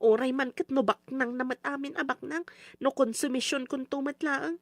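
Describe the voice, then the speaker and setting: A woman's voice: high-pitched, steady tone, moderate pace, no echo, clean studio sound. A woman playing a principled, defiant young daughter in a radio drama, with an Ilocano accent and an insistent, pleading manner.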